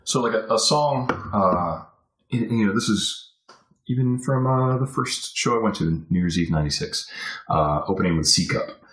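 Deep-voiced man rambling in an echoey room. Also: animated, normal speed, a bit bright. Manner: rambling, many fillers and restarts. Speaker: podcast guest. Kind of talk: casual interview talk.

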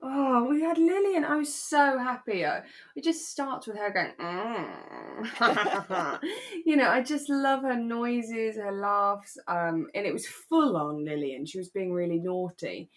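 A woman's voice: fairly high pitch, sing-song, takes it slowly. Woman podcast host, kinda giggly and gushing, just chatting away casually. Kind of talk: casual chat about a radio drama.

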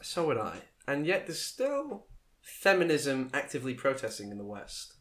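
A man co-hosting a casual podcast chat, joking around with sudden jumps in pitch and trailing off into quiet asides. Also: wildly swooping pitch, moderate pace. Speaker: podcast co-host.

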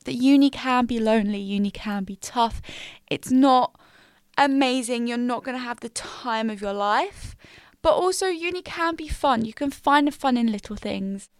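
A woman of twenty, hosting a casual solo podcast in a small quiet room, candid and upbeat with slangy asides. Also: fairly high pitch, moderate pace, clear, resonant tone, no room sound, clean studio sound. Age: 20s. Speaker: podcast host. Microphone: studio mic.

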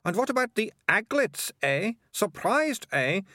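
Male narrator as young girl, raised pitch, bossy, sharp-tongued, triumphant, lively swoops, storybook. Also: measured pace, no room sound, studio quality, heavily acted. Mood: accusing. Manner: sharp, accusing, snappy.

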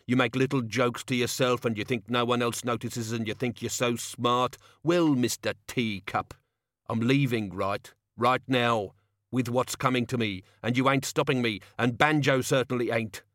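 A man voicing a gruff, indignant old male locksmith with a rough working-class way of talking in an audiobook. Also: sing-song, normal speed, fairly bright tone, dry recording, studio quality. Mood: defiant. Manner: indignant working-class grumble, rising pitch.

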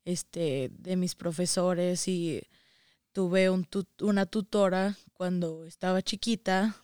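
A young woman with a northern Mexican accent talks casually as a guest. Her voice is smooth and slightly low, her delivery slow, and the sound is dry. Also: steady tone, a little mellow, no echo. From Saltillo, Mexico, and the United States. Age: young adult. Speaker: interview guest. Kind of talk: casual conversation telling own experience.

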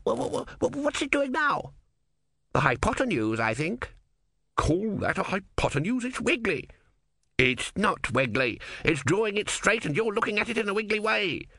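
A man's voice: a little high, bright, forceful tone, no room sound, studio quality, voice pushed up, heavily acted. A man voicing a crowd of petulant male philosophers squabbling over bets.

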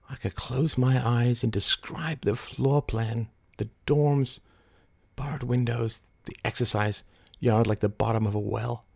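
A man's voice: mid-range voice, no room sound, pitched above the performer's own voice, close to an everyday voice. A man voicing an intense, volatile woman, commanding yet fragile, in a dramatic audiobook reading. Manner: dramatic, deliberate, mercurial.

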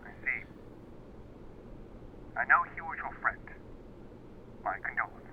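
A man's voice: medium pitch, animated, slow. A man playing an earnest, courteous, formal mayor in a 1930s radio drama, heard through a telephone filter. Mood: concerned. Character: grateful, courteous town mayor. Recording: tinny phone line with faint noise.

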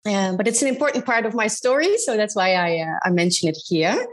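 A woman in her thirties from the Netherlands with a Dutch accent, speaking as an interview guest, often pausing and restarting her sentences. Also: medium pitch, expressive. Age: 30s. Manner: hesitant, many ums and restarts. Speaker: guest, business advisor. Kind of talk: casual interview conversation.

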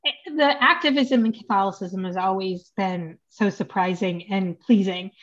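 A woman's voice: medium pitch, moderate pace, muffled recording. An American woman hosting a podcast, chatty and full of restarts, laughing between phrases. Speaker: podcast host. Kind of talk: podcast interview.

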